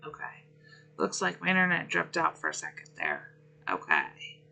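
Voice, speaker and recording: A man hosting a relaxed livestream, greeting viewers one by one while his voice is going hoarse and rough. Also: a little high, faint music behind. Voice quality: rough, gravelly. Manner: unhurried, friendly greetings between pauses. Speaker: livestream host. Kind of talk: relaxed gaming stream chat, greeting viewers.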